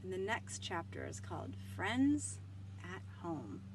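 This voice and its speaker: A woman reading aloud to young children like a school librarian, with an expressive, clearly enunciated delivery. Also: lowish voice, slow, a little hiss or hum, poor audio.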